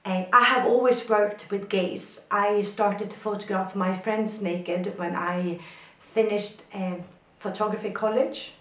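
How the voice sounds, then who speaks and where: A woman's voice: mid-range voice, steady tone. Thoughtful Swedish-born woman speaking in an echoey room. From Sweden. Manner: thoughtful, unhurried, poetic phrasing. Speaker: photographer answering questions. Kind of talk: reflective personal talk.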